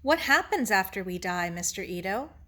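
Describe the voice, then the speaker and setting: A woman's voice: mid-range voice, lively intonation, normal speed, a bit bright, natural voice. A woman voicing an earnest, troubled young girl in a storybook, asking innocent, searching questions. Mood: curious. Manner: inquiring, innocent. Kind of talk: children's novel read aloud.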